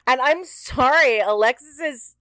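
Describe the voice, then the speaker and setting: A woman talks casually as a co-host on a television fan podcast. Her voice is a little higher than average. The recording is dry and free of room sound. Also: slow pace, sharp tone, no room sound, poor audio. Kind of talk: casual chat about a TV show.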